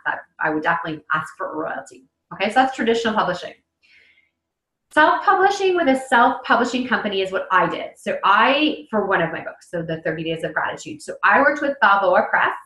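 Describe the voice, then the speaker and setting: A woman's voice: lowish voice, expressive, brisk, pushed and bright. A woman in her thirties, an author giving a casual online lesson over a webcam, talking loosely with fillers and restarts. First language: English. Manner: conversational, many fillers and restarts. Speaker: author presenting a webinar. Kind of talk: casual instructional talk. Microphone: webcam on a video call. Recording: echoey room on a webcam mic.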